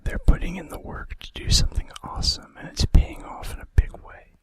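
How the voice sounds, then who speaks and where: A man whispering breathily and gently into a close microphone as an ASMR host, reading out facts with casual, hesitant asides. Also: brisk.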